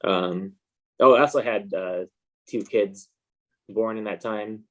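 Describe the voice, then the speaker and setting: Laid back guy, slightly low voice, rambles along casually as a guest in an interview. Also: takes it slowly, a bit bright. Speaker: interview guest, comic book artist. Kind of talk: casual chat.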